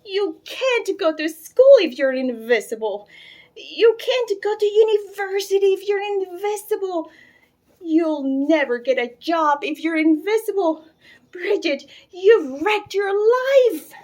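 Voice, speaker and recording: A woman's voice: extremely high pitch, animated, slow, darkish tone, voice pushed up, full character voice. A woman reading a children's storybook, playing a panicky, scolding mother who exclaims and wails dramatically. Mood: wailing, scolding. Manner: exclaiming, wailing, over-dramatic. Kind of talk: children's storybook read-aloud.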